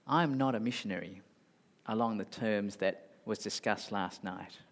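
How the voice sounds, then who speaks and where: A man from Malaysia with a mixed Malaysian, Singaporean and Australian accent, preaching a sermon emphatically and rising to loud high emphasis. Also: normal speed. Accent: mixed Malaysian, Singaporean and Australian English. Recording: hall reverb.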